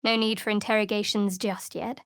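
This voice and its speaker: An actress playing a guarded, wry young cleric of darkness in a video game, speaking in a measured way. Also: female voice, mid-range voice, little pitch movement, fairly bright tone, clear, resonant tone, no echo, studio quality, close to an everyday voice.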